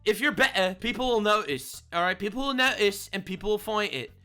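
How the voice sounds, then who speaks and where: A man streaming casual reaction commentary, sarcastic and mocking, often ranting loudly. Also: high-pitched, animated, a little fast, faint music behind.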